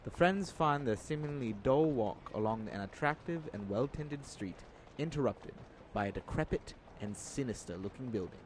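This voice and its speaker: A man's voice: mid-range voice, lively intonation, normal speed, hardly any pauses, a little hiss or hum, low-quality recording. A man giving scripted narration for a literary radio drama.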